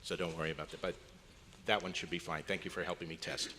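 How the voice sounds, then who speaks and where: A man speaks in a low but bright, forceful voice, introducing a performance to an audience. The room is a reverberant hall, with background noise around him. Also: steady tone, fast. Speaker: club member introducing a radio play recreation. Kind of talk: public introductory talk to a live audience. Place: hotel convention meeting room, indoors. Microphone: live PA microphone. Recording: echoey hotel meeting hall, noisy background.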